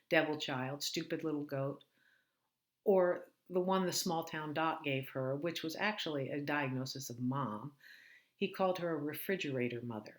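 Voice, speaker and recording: A woman author reading her own novel aloud in first person, with a dry, wry tone. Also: sits low. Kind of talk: first-person audiobook narration.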